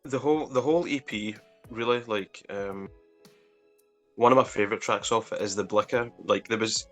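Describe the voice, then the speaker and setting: A man's voice: lowish voice, moderate pace, a bit bright. A man chatting casually and informally as a guest music producer on a livestream call. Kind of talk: casual interview chat.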